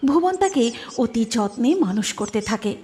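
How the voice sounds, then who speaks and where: A woman's voice: a little high, very animated, moderate pace. A woman narrator reading a scripted dramatic story. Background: music.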